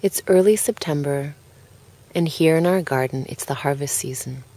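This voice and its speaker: Low-pitched woman guiding a calm relaxation. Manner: calm, unhurried, gently spoken with pauses. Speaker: guided relaxation narrator. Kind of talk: guided relaxation, scripted narration.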